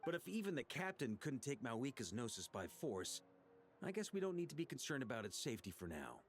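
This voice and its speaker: An actor playing a hearty, loyal, seasoned knight commander in scripted game dialogue. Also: male voice, mid-range voice, very animated, a little fast, slight background noise, natural voice. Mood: steady.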